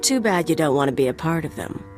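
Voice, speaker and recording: Tough, flirtatious hired gun: low female voice, wry and teasing, game dialogue over music. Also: expressive, fast. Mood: taunting. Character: cocky, sardonic female mercenary, tough and flirtatious. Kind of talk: video game voice acting. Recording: music under the voice.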